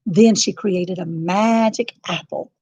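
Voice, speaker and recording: A woman narrating a children's storybook in an expressive, dramatic and deliberate style. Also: lowish voice, breathy edge, no echo.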